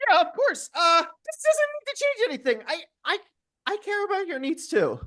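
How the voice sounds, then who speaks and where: A woman acts out a nerdy teenage girl in an audio musical. Her voice is high and swoops about animatedly. She is kind and earnest, tripping over her words in a flustered way. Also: sing-song, moderate pace, pushed and bright, heavily acted. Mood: nervous, eager. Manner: stammering, flustered. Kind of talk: podcast musical read-through.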